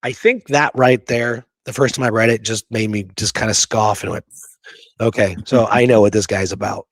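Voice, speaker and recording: A man's voice: on the low side, steady tone, normal speed, no echo. Guy doing a history podcast, reads bits out then comments casually, super dry sound no room. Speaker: podcast co-host. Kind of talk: conversational history talk, reading quoted passages and commenting.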